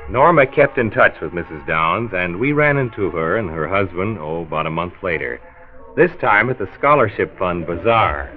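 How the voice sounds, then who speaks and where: An actor playing a genial, folksy county doctor in a 1940s radio drama, both narrating and chatting. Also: male voice, deep voice, expressive, normal speed, faint music behind. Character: genial county doctor, health commissioner, narrating his story. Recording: noisy, narrow-band old radio recording.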